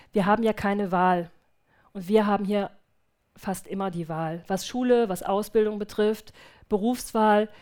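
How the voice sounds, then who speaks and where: A woman's voice: medium pitch, a little mellow. Earnest woman giving a church testimony. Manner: earnest and reflective, with hesitant restarts. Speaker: returning missionary speaking in a church service. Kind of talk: church testimony followed by spoken prayer. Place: church service.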